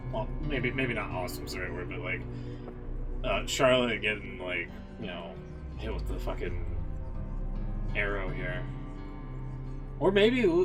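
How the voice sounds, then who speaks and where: A man giving casual, rambling and sweary live commentary as a game streamer, excitable and slangy. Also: fairly low pitch. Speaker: game streamer reacting to story readings and cutscenes.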